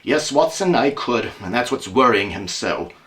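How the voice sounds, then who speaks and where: Man playing a brisk, wry, animated detective. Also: medium pitch, natural voice. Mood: animated, confiding. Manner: emphatic, wry, theatrical. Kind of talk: amateur audio drama acting.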